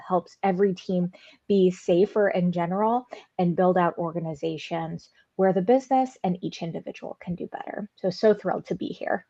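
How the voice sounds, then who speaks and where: A woman's voice: lowish voice, warm tone, dry recording. A woman panelist talks with earnest emphasis, her voice dry and close. The call audio is dull and lo-fi, with the muffled edges of a video meeting. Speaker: HR founder, panelist. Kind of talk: online panel discussion.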